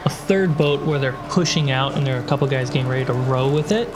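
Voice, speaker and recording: A man narrating a tabletop role-playing game as game master, describing scenes in short phrases with pauses. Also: mid-range voice. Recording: prominent music bed behind voice. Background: background music score.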